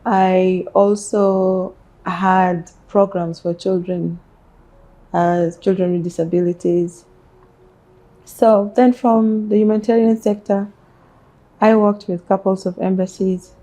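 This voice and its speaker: A woman with a South Sudanese accent answering interview questions about herself in measured, halting phrases. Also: medium pitch, steady tone, mellow, poor audio. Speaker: interview guest, businesswoman. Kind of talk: interview, personal life story.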